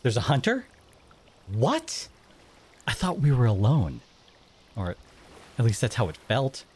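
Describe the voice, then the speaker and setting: An actor playing a blunt, wary sea-dwelling monster in an audio drama, with casual, outspoken phrasing. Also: male voice, medium pitch, wildly swooping pitch, measured pace, darkish tone, husky, exaggerated voice. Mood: reluctant, startled.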